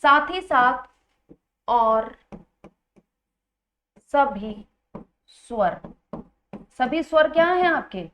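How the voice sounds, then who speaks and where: A woman's voice: sits high, lively intonation, extremely slow, pauses often, fairly bright tone, slight background noise. A woman teaching an exam-prep grammar lesson in an instructional way, asking students questions and repeating key points. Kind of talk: Hindi grammar lesson, explaining quiz questions.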